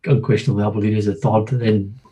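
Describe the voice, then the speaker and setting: A man's voice: fairly low pitch, level tone, quick pace, mellow. A man with a Scottish accent talking football casually as a podcast co-host.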